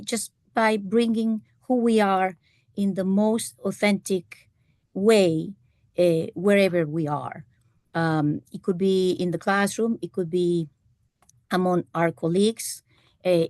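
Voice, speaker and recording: A woman's voice: mid-range voice, a little mellow, dry recording, studio quality. A woman with a Costa Rican Spanish accent, a native Spanish speaker, speaking earnestly as a panelist with many "eh" fillers. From Costa Rica. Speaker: panelist, economics faculty. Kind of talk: panel discussion. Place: university event room.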